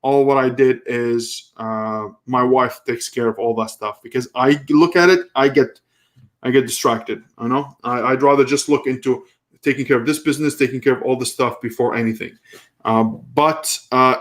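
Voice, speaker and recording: A man in his thirties, originally from Kuwait, hosting a live question-and-answer stream and talking loosely, with frequent restarts as he thinks aloud. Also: mid-range voice. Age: 30s. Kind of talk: casual live stream Q&A, reading viewer comments.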